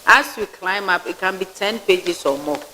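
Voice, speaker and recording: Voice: mid-range voice, moderate pace, pushed and bright, slight background noise, poor audio. A vetting panelist, asking blunt, probing questions and giving critical remarks. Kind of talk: interview questioning.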